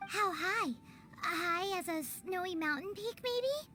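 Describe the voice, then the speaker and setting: A woman voicing a small, eager fairy companion in a video game, with a squeaky childlike voice and a perky, inquisitive manner. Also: animated, slow, full character voice. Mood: chirpy. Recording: faint music behind.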